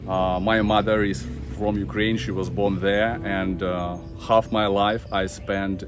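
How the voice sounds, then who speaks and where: A man in his thirties with a Russian accent, talking to camera about his family, halting with many fillers and restarts. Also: fairly low pitch, level tone, music in the background. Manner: halting, many fillers and restarts, simple non-native grammar. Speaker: vlogger. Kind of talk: personal talk to camera.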